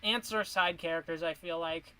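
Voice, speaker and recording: High, bright-voiced man rambling on stream. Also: moderate pace, clean tone, rough recording. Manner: rambling, restarts, animated tangents. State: voice getting worn from streaming. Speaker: streamer ranking cartoon characters. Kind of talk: casual chat on a stream.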